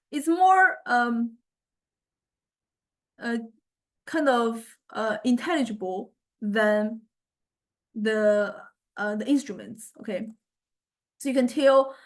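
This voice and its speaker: A woman lecturer giving an informal software demonstration, explaining conversationally and often hesitating as she searches for words. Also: a little high. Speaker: university music technology lecturer.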